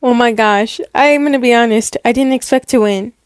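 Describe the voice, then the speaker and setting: A woman voicing an earnest, modest young actress in a story roleplay, with a halting, gushing manner. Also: fairly high pitch, very noisy, close to an everyday voice. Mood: heartfelt, grateful.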